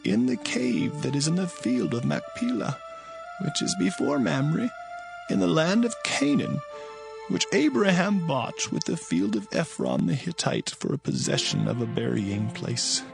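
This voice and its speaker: Aged biblical patriarch, gravelly and solemn, swooping declamation for audio scripture drama, music underneath. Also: male voice, a little high, sing-song, heavily acted, low-quality recording. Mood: grave, weary. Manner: slow, declamatory. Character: aged dying biblical patriarch, solemnly blessing his sons.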